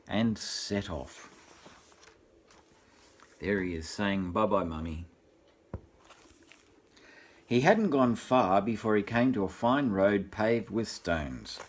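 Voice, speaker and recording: An older man reads a picture book to young children like a grandfather. His voice is husky and rises and falls a great deal, with expressive asides put straight to the little listeners. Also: on the low side. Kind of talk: storybook reading with asides to children.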